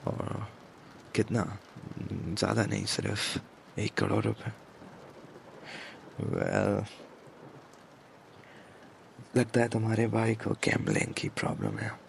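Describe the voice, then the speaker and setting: A man voicing a smug, possessive mafia boss in an intimate ASMR audio roleplay, with a gravelly, halting murmur and menacing endearments. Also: lowish voice, animated, slight background noise, exaggerated voice.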